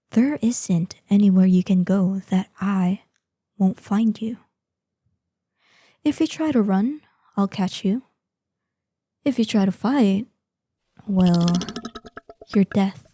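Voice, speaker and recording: A woman playing an ancient, proud female dragon in a scripted audio roleplay, imperious in manner, with a breathy voice that lapses into whispers. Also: mid-range voice, warm tone, no echo, studio quality. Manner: slow, imperious, savoring threats. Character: ancient, menacing, proud female dragon guarding hoard, lonely, excitable.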